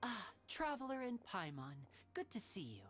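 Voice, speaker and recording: Lively, charming young magician boy. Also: fairly high pitch, normal speed, a little hiss or hum. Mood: welcoming. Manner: courteous, smooth showman. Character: polite, charming young male stage magician, obliging. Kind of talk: animated game character acting.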